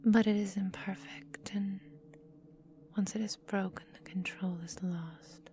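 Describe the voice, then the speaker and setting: Whispering ancient vampire mistress, acted by a woman, slow and menacing. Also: medium pitch, steady tone, warm tone, a little hiss or hum, natural voice. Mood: brooding, possessive. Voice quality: breathy whisper. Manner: slow, deliberate, condescending, formal diction. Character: centuries-old female vampire mistress, possessive, menacing, coolly doting. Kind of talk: whispered ASMR roleplay.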